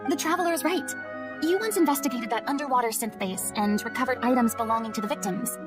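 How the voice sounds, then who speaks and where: A woman's voice: a little high, fast, music in the background, lightly acted. A woman playing a poised, commanding yet compassionate young woman in an anime game, speaking in articulate, theatrical phrases. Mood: decisive.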